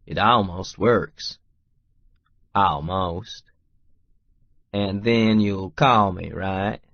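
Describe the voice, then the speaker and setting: A man's voice: deep voice, extremely slow, dry recording, dull audio, heavily acted. An actor narrating a romance audiobook as a stubborn, wry, lovestruck Texas oilman. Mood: wry, determined. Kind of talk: first-person audiobook narration with dialogue.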